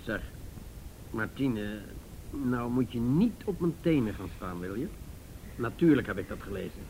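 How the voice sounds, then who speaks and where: A man's voice: medium pitch, lively intonation, unhurried, darkish tone, rough recording. An actor playing an older, jovial and patronising male police chief in a radio drama, hesitant and avuncular. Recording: radio drama with faint background noise.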